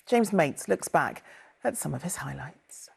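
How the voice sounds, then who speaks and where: A woman's voice: deep voice, expressive, measured pace, vocal fry, a little mellow, breathy edge. A woman news presenter reading a scripted bulletin.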